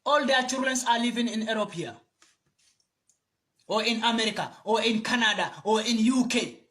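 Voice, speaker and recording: Man, very high and bright-edged voice, impassioned and preachy to-camera address. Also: normal speed. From Gambia. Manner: fiery, preachy, repeats phrases for emphasis. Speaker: video commentator.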